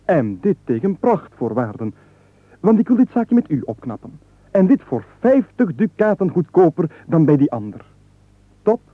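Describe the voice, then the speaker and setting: Wily broke squire, compulsive babbler, highish voice, mock-deferential, florid, comic radio play, narrow phone-like band. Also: male voice, very animated, moderate pace, no echo. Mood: ingratiating, pleading. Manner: flowery, wordy, mock-deferential. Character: penniless wily young squire, an unstoppable babbler. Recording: muffled narrow-band 1980s radio recording.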